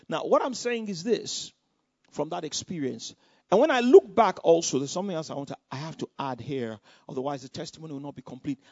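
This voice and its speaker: A Nigerian man preaching, telling a personal testimony in an animated storytelling way with repetitions. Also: on the high side, vocal fry, no echo. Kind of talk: sermon testimony. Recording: dull lo-fi sound.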